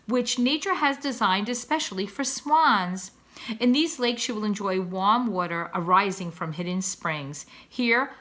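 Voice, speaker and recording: Lady doing a pompous dad swan for a kids storybook, bright n lively, lecturing the little ones all grand. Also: mid-range voice. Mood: boastful, enticing. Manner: pompous, florid, declamatory. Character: proud, grandiloquent father swan lecturing his young. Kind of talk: children's storybook read-aloud.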